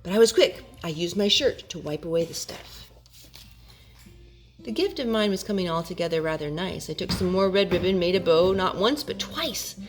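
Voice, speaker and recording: A woman hosting storytime for children, reading in an expressive storybook style. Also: lowish voice, normal speed. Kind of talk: expressive rhyming storybook narration. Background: music.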